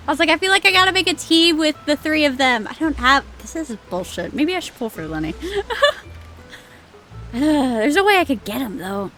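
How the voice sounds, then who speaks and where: Excitable, laughing woman streamer. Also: high-pitched. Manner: excitable, laughs often, swears freely. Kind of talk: casual let's-play commentary.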